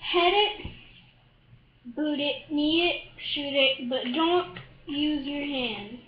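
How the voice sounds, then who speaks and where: A woman voicing an excited young boy frog who loves soccer, keen and chanting, in a storybook. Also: high-pitched, slow, reverberant, highs cut off, a little hiss or hum.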